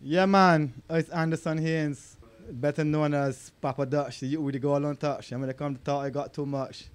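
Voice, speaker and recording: A man's voice: a little high, steady tone, normal speed, smooth. A Barbadian man, an artist, chatting loosely in dialect in an interview. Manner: loose, streetwise, grows loud and hyped. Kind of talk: casual interview answers.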